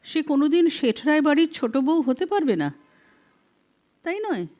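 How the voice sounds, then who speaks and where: Audiobook role: loyal, questioning man, pointed and animated, performed by a woman. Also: sits high, normal speed, pitched above the performer's own voice. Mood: indignant, pressing. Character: earnest, probing man, a loyal childhood friend. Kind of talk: audiobook character reading.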